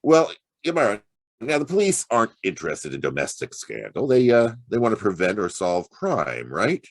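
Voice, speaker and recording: Wry private eye: deep voice, swooping intonation, sardonic and streetwise, noir radio drama style. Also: male voice, huge pitch swings, moderate pace, exaggerated voice. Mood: earnest bargaining. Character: wry, streetwise hard-boiled male private detective. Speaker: actor narrating and playing the lead. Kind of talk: hard-boiled detective radio drama acting.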